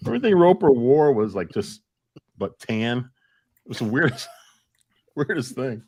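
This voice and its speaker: A man's voice: medium pitch, sing-song, measured pace, darkish tone, breathy edge, no echo. An American man co-hosting a podcast, chatting casually with a group. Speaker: podcast co-host.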